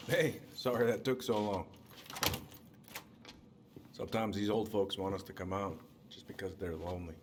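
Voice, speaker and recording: An actor playing a middle-aged small-town police chief and uncle in a video game, folksy, measured and paternal. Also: male voice, low voice, steady tone, long reverb tail, noisy background, close to an everyday voice. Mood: friendly. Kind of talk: naturalistic video game acting.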